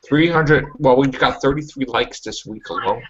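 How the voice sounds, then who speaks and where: A man hosting a casual web show, talking in an emphatic, pushy and animated way, on a muffled lo-fi recording. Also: mid-range voice, normal speed, a loud crowd. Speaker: host.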